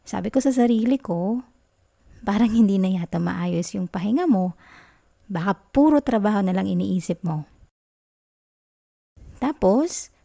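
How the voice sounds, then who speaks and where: Female, Filipino accent, acting as a sweet, teasing girlfriend; soft, dark-toned, intimate ASMR delivery. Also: mid-range voice, gravelly. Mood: gently concerned. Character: affectionate, caring young girlfriend, playful and sweet. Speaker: ASMR roleplay performer. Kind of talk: affectionate girlfriend ASMR roleplay in Taglish.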